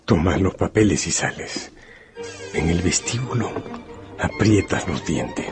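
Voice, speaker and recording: A man's voice: low-pitched, animated, measured pace. A man narrating a gothic radio drama in a solemn, suspenseful, literary style. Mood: solemn, hushed. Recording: radio play with music underneath.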